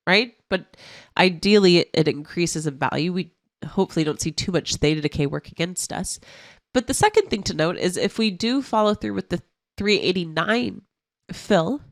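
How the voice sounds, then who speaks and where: A woman who sounds stuffy from a cold, walking through trading charts as an options analyst in a clear, explanatory way. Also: on the low side, no echo.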